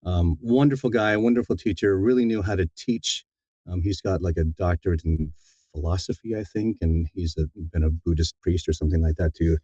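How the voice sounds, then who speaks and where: A man speaking as a guest in a casual interview, in a halting, reflective and sincere way. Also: sits low, dark tone, husky, no room sound, highs cut off. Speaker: guest, audiobook narrator.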